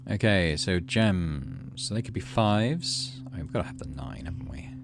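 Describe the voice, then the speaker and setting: Man musing through a game, low voice. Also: animated, moderate pace, creaky, noisy background. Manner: muses aloud, thinking through choices. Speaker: gaming video host. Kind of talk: casual let's play commentary with narrated game text.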